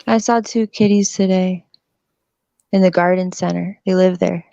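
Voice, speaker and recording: A woman's voice: lowish voice, steady tone, normal speed, gravelly, warm tone, no room sound. A woman in her thirties chatting casually and laughing often on a group call, through a slightly dull lo-fi mic. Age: 30s. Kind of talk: casual group video chat.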